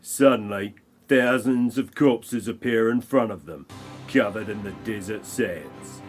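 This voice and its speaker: A man's voice: lowish voice, unhurried, faint music behind. A man narrating an amateur audio play, reading a scripted film parody with wry comic asides.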